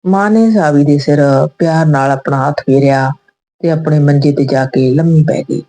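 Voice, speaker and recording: Female storyteller, deep register, warm dark tone, for scripted emotional moral tales. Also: moderate pace, poor audio.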